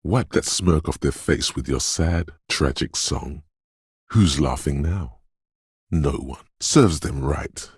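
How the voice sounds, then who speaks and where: A man voicing a brooding, dreamlike inner voice of intuition in a video game, speaking in a slow, hushed, portentous and theatrical way. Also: no echo, studio quality, heavily acted. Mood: brooding, insistent. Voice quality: very deep, husky, gravelly whisper-edged rumble. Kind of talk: dramatic video game voice acting. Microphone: close, dry studio microphone.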